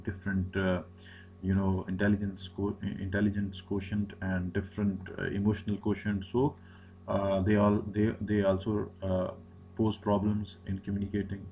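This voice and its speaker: A man's voice: lowish voice, darkish tone, highs cut off, slight background noise. A man, an Urdu speaker, giving a recorded lecture, often repeating himself and restarting phrases. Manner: repetitive, many restarts and fillers.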